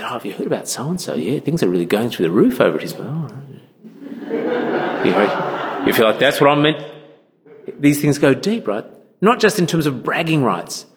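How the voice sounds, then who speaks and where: Man preaching in a reverberant church. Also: medium pitch. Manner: conversational, acts out quoted voices. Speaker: minister. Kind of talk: sermon.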